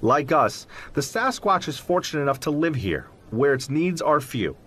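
A man's voice: fairly high pitch, big pitch swings, moderate pace, fairly bright tone, husky, exaggerated voice. A man playing an articulate, self-assured zoologist explaining his field in an audio drama interview, in an emphatic, professorial manner. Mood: earnest, lecturing. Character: articulate, self-assured male zoologist who believes in Sasquatch. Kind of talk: audio drama interview, scripted but conversational.

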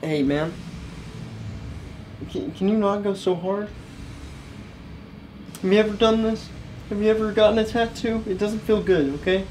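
A man's voice: sits high, measured pace, long pauses, a little mellow, slight background noise. Goofy, boastful eighteen-year-old guy. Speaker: vlogger. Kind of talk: comedy vlog. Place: bedroom with gaming setup.

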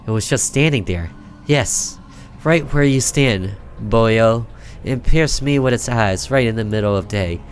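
A man's voice: medium pitch, a little slow, slight background noise, natural voice. Guy reading as a creepy old village woman in a horror game, ominous, music underneath. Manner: ominous, emphatic storytelling. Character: eerie old village woman warning of a demon.

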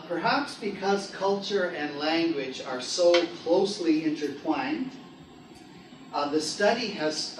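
Male academic presenter, middle-aged, slightly higher voice, taped from the back of the room. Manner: measured, many "uh" pauses. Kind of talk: conference research talk. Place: lecture room with projector screen. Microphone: far camera. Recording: echoey room from far off.

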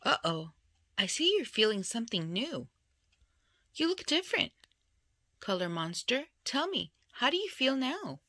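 A woman reading a children's picture book aloud in an expressive storytelling style. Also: on the high side, measured pace, long pauses, slight background noise. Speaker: storybook reader.

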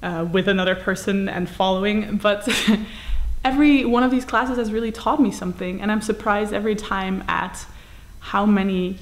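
A young woman speaking to camera as host, gentle and earnest in a plain indoor room. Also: medium pitch, steady tone, normal speed. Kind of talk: casual talk to camera.

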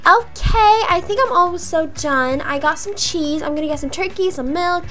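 A young woman acting out improvised roleplay dialogue for a video game, in an animated manner with drawn-out words. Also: very high voice. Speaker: gaming video creator voicing roleplay characters. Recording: music behind the voice. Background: music.

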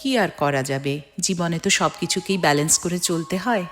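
Low-voiced woman vlogger over music. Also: moderate pace, a bit bright. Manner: addresses viewers as friends. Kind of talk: narrated personal vlog. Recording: music under the voice. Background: background music.

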